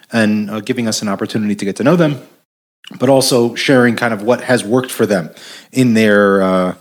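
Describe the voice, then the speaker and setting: A man hosting a podcast, chatting casually and eagerly, often restarting and repeating his words. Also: medium pitch. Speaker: podcast host. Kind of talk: casual interview chat.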